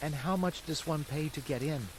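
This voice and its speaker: A man voicing an eager, impulsive and boastful wooden puppet boy in a bedtime story, talking in an excitable, dramatic way. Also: on the high side, normal speed, background noise, pitched above the performer's own voice, natural voice. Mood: curious, eager. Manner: excitable, dramatic self-talk. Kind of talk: bedtime storybook character reading. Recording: steady rain sounds behind the voice.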